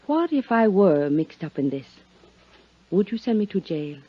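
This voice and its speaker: A woman's voice: lowish voice, very animated, a little slow, slightly rough, exaggerated voice. Cool teasing 50s radio businesswoman, poised n intimate, muffled old recording. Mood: coy, tender. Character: cool, coy, hard-headed businesswoman, old flame of detective. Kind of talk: 1950s radio drama acting.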